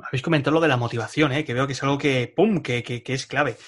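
Man, fast-paced group leader on a video call, energetic. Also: mid-range voice. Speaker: course leader.

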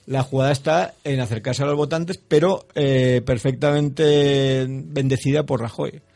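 A man from Spain commenting on politics on a radio panel, speaking in a measured, analytical way. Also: medium pitch, fairly even intonation, gravelly, darkish tone. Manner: measured and analytical, with some fillers and restarts. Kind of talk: panel debate. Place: radio studio.

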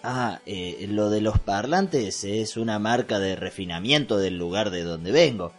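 A man playing a bumbling impostor posing as a landowner in a comic radio play, halting and evasive. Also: fairly low pitch, lively intonation, faint music behind. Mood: awkward.

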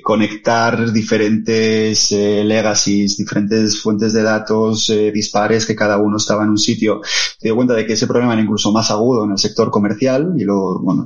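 A man's voice: lowish voice, darkish tone, dull audio. A man speaking as a tech company guest in a podcast interview, explaining at length with frequent "eh" fillers and "¿vale?" checks.

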